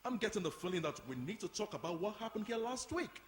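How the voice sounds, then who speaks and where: Actor as indignant, officious man, high-pitched, echoing hall. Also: sing-song, fast talker, a little hiss or hum. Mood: firm, formal. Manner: emphatic, formal wording. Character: indignant, officious man at a youth centre meeting. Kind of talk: audio drama performed before a live audience. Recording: echoey hall.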